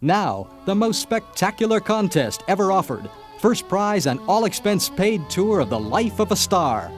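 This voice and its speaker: Grandiose radio pitchman, acted by a man: dramatic, very animated delivery over soft music. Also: moderate pace. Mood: grand, enticing. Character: grandiose contest announcer selling a movie star's glamorous, tragic life. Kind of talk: satirical radio ad, scripted announcing. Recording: music bed under the voice.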